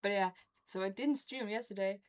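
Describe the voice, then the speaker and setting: A woman's voice: mid-range voice, moderate pace, a little mellow. A woman from Norway with a Norwegian accent, chatting casually on a game stream with a toothache, rambling and laughing often. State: has a toothache.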